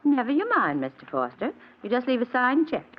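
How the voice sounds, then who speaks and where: A woman playing an affectionate, coy, teasing sweetheart in a 1940s radio drama, with expressive, theatrical delivery. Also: on the high side, a little fast. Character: affectionate, teasing sweetheart and wife, eager and sprightly. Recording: dull old radio recording.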